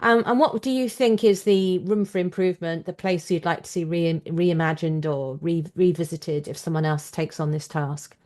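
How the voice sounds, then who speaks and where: A woman with a slightly low voice. She hosts an interview in a conversational way, thinking aloud as she shapes her questions. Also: fairly even intonation, normal speed, hardly any pauses, darkish tone. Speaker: podcast host and interviewer.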